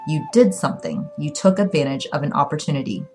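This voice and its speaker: A woman's voice: sits low, lively intonation, quick pace, darkish tone, faint music behind. A woman voicing a passionate, defiant young Chinese daughter in an audiobook, urgent and indignant. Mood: shocked, accusing.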